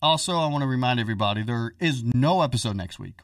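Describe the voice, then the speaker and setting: A man's voice: medium pitch, lively intonation, quick pace, no echo, recorded from a distance. Chatty joking guy hosting a podcast, bit off the mic, dry room. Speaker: podcast host. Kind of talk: casual podcast chat.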